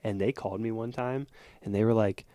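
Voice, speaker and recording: A young man co-hosting a casual comedy podcast, telling animated stories and laughing often. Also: lowish voice, a little fast, no room sound. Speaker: podcast co-host.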